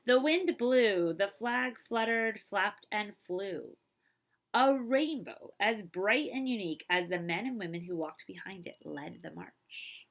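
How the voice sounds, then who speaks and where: A woman librarian reading a picture book aloud to children, with expressive, emphatic phrasing and casual asides. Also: on the high side, gravelly.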